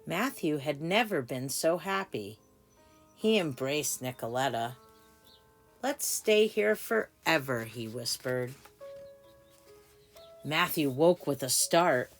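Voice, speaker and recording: A woman reading a children's storybook aloud with deliberate, dramatic phrasing for small children. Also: very deep voice, animated, soft background music. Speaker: story time reader. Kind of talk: expressive children's storybook narration.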